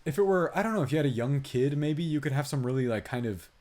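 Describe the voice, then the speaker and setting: A man chats casually as a podcast film reviewer. His voice sits at a medium pitch. He talks in loose slang and tells things in an animated way, slipping into quoted reactions as asides. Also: fast.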